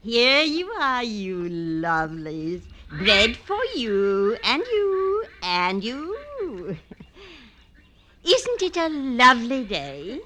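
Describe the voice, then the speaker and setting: A woman's voice: a little high, huge pitch swings, drawn-out words, sharp tone, a little hiss or hum, full character voice. An actress playing a fussy old lady feeding ducks and feigning a fainting spell, in 1940s radio-acting style. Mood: cooing. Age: older. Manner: dithering, theatrical fainting spell.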